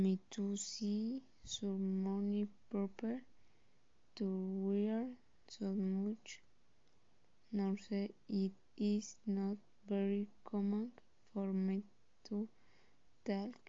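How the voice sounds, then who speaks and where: A teenage girl from Costa Rica with a Costa Rican Spanish accent, a native Spanish speaker giving a halting personal introduction, pausing and correcting herself. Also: mid-range voice, warm tone, dry recording, muffled recording. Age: teens. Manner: halting, with pauses and self-corrections.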